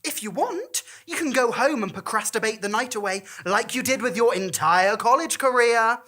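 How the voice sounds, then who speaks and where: A woman's voice: on the high side, lively intonation, normal speed, fairly bright tone. Woman playing a scornful, cutting young woman. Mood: derisive. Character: derisive, blunt young woman friend.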